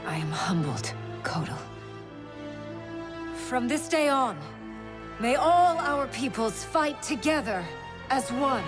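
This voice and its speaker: A regal empress with a high voice that rises in wide sweeps, speaking slowly and ceremonially. Loud music swells behind her in this game cutscene. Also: big pitch swings, heavily acted. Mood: solemn. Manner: formal, ceremonial. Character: regal, gracious female empress. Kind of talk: video game cutscene acting.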